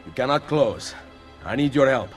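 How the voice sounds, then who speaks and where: A man's voice: mid-range voice, expressive, moderate pace, natural voice. A male actor as a confident Italian assassin in a video game. His voice moves expressively, blunt and protective, with a commanding edge. Faint music plays behind him. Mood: firm, exasperated. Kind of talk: dramatic video game acting.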